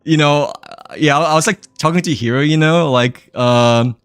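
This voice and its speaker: A man's voice: a little high, moderate pace, clear, resonant tone, no echo. A man livestreaming a game, chatting in a rambling way full of fillers and giggles, his throat a little dry and tired. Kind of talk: casual game stream chat.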